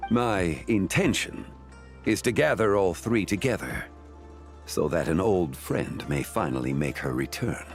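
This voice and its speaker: A man playing a solemn ancient god in a video game, speaking with formal, stately, deliberate phrasing. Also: deep voice, lively intonation, soft background music. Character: solemn, measured ancient male god of contracts. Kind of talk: scripted game voice acting.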